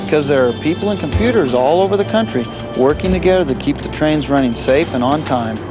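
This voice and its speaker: A man's voice: fairly high pitch, expressive, a little fast, music in the background, natural voice. A man playing a friendly train engineer with an American accent, patiently explaining things to children in an educational film. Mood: patient, instructive.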